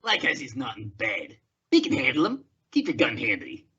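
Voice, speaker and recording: An actor playing a gruff, menacing male outlaw in an old-time radio western. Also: medium pitch, huge pitch swings, normal speed, bright, forceful tone. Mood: wary, bossy. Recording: dull lo-fi audio with hiss, clicks.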